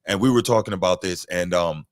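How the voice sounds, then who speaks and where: A man co-hosting a casual sports podcast, making long, measured points. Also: sits low, no room sound. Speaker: podcast co-host.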